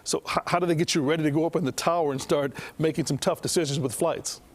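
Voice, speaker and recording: Male news anchor, American accent, slightly high voice, broadcast interview delivery. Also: steady tone, quick pace.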